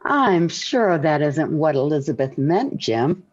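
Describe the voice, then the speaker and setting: A woman's voice: low-pitched, big pitch swings, takes it slowly, a little mellow, exaggerated voice. An actress playing a patient, loyal middle-aged wife and mother in a 1940s radio sitcom, on a dull old recording. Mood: placating.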